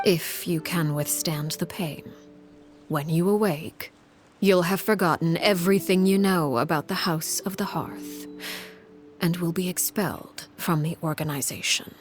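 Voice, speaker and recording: Low and measured, this is a cold, commanding leader in a video game, acted by a woman. She speaks formally and with tight control, stern but composed. Music plays clearly under her voice. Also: close to an everyday voice.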